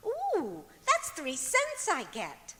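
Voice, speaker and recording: Woman performer as scatterbrained sitcom wife, swooping bright voice, innocent earnest logic, old-time radio comedy, echoey auditorium. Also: very high voice, huge pitch swings, slow, heavily acted. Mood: innocent, matter-of-fact. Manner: guileless, earnest delivery of nonsense. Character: scatterbrained, cheerfully illogical wife with her own daffy reasoning. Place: performing arts center auditorium, live stage. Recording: echoey auditorium stage hall.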